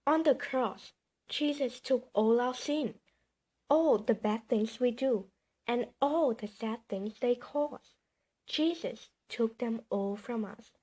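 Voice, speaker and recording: A woman reading a children's bedtime story aloud in an expressive, emphatic storytelling manner. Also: a little high, takes it slowly, husky.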